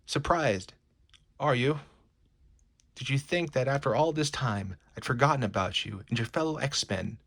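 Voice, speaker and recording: A man voicing a vengeful supervillain boss, grandiose and taunting in a theatrical, drawn-out way. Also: medium pitch, fairly bright tone. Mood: gloating.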